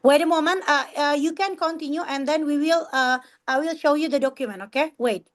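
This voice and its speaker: High-voiced woman organizer, halting English. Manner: halting, repetitive non-native English. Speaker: organizer answering participant questions. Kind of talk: informal online question-and-answer session.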